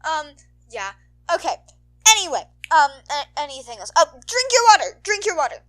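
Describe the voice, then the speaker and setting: A woman's voice: high-pitched, normal speed. A woman hosting her fan-fiction readings, chatting casually to listeners in a gushing, rambling, slangy way, peppered with "like". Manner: gushing, excitable, slangy, frequent "like". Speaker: podfic reader and host.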